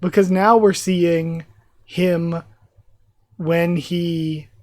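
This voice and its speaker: A YouTube reactor losing their voice, chatting excitedly in a live reaction while reading a manga aloud. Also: mid-range voice, extremely slow, dry recording.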